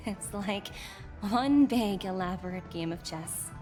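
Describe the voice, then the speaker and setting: Heavily reverberant, an easygoing junior businesswoman in a game. She talks slowly and respectfully, with some hesitance, over faint music. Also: mid-range voice, lively intonation, darkish tone. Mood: deferential. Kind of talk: video game voice acting. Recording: long echoing reverb.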